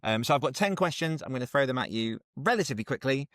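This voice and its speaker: Podcast host with lively, varied pitch. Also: male voice, quick pace, no echo, studio quality. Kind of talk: casual interview banter.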